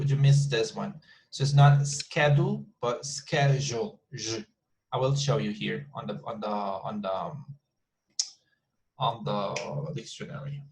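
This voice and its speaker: A man with a Colombian accent teaches an English class over a video call, speaking into his computer mic. His voice sits at a middle pitch, and he restarts his sentences often while calling his students "guys". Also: creaky. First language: Spanish. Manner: repeats words often, talks to the class as "guys". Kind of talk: online class lecture.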